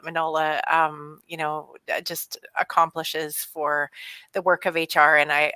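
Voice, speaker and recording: Woman, panelist on a video call, bright forceful tone, dry close sound, reflective and halting. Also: low voice, smooth, no room sound. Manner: thoughtful, many restarts and hesitations. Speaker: panelist, HR director.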